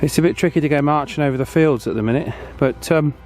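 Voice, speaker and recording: A man's voice: on the high side, fast talker, a little mellow, faint music behind. A man hosting a casual hands-on demonstration, chatting and thinking aloud as he goes. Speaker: host of radio repair channel.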